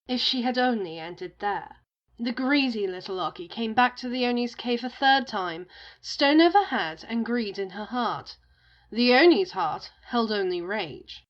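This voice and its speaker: A woman's voice: fairly high pitch, lively intonation, a little slow, muffled recording. A woman narrating a folktale as a storyteller, in a scripted storybook style.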